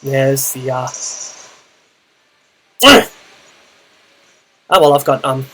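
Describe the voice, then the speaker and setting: A young Australian man with a naturally loud voice, casually narrating his own Pokemon battle, thinking aloud with fillers. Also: medium pitch, very slow. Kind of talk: casual play-by-play gaming commentary.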